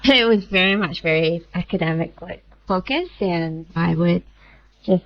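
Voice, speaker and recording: Woman, 30s, from Malaysia, founder guest; lowish voice, chatty with filler asides, slightly dull recording. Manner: chatty, quick asides with frequent "like" and "kinda". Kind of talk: casual interview talk.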